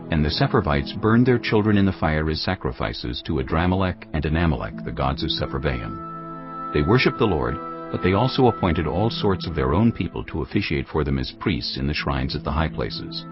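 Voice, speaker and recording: Very deep male scripture narrator. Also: animated, moderate pace. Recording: dull audio, music underneath.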